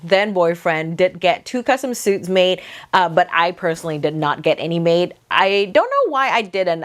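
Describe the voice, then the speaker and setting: A woman chats at length to camera as a vlog host. Her voice sits a little low for a woman, and her talk rambles, packed with "like" and "um" fillers. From Vietnam. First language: Vietnamese. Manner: rambling, talkative, many "like" fillers. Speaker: vlogger host. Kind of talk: casual chatty talk to camera.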